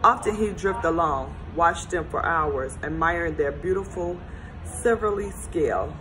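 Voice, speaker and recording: A woman reads a children's picture book aloud, gentle and expressive, lifting her voice on the story's turns. Her voice sits on the lower side, with a faint hum of room noise behind her. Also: measured pace.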